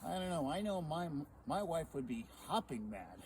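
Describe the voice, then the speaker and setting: A man's voice: a little high, big pitch swings, moderate pace, a little mellow. A man doing a doubtful neighbor man. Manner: skeptical, friendly. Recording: noisy recording.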